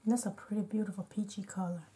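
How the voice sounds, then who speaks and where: A woman with a slightly hoarse, congested voice, chatting casually as a beauty vlogger and narrating each step of an unedited makeup tutorial. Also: mid-range voice, level tone, fast, dark tone.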